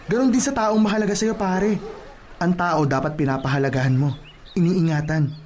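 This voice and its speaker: An actor playing an earnest, caring plumber who gives admonishing advice in a radio drama. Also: male voice, high voice, darkish tone, a little hiss or hum, natural voice. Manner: admonishing, deliberate advice-giving. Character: earnest, caring plumber, a man scolding a friend. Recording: music behind the voice.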